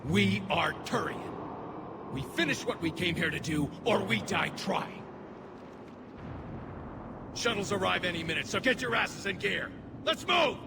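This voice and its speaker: A man's voice: high voice, expressive, unhurried, creaky, pauses often, heavily acted. A man playing a burdened, dutiful young alien military officer in a sci-fi game, speaking earnestly and formally. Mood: stern, rallying. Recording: loud vehicle and battlefield noise behind.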